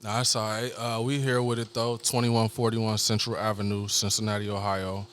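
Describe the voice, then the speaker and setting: A man from New York City, a guest on a podcast, talking in a laid-back, slangy, soft-spoken way about his art gallery business. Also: on the low side, little pitch movement, moderate pace, a little hiss or hum. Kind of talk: casual podcast conversation.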